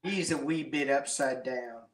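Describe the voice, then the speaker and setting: A man's voice: mid-range voice, moderate pace. A man appearing as a guest tarot reader, chatting casually in a playful way with exclamations and puns. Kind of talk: casual chat.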